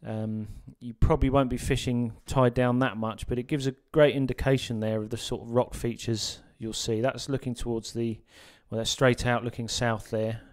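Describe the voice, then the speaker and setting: Smooth-voiced man narrating a fishing guide. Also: medium pitch, moderate pace, hardly any pauses. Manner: relaxed, with frequent ums and uhs. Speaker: sea fishing guide presenter.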